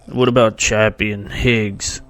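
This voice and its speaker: A man's voice: lowish voice, little pitch movement, a little slow, fairly bright tone, no echo. An actor playing a groggy, sick male survivor in an audio drama, groaning weakly as he speaks. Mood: groggy, miserable. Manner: groaning, weak, slangy.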